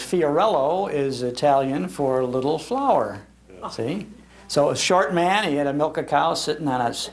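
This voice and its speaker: A man's voice: fairly high pitch, gravelly. An older man giving an informal, conversational talk to an audience, explaining as he goes. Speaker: lecturer on old radio, host. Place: public library talk.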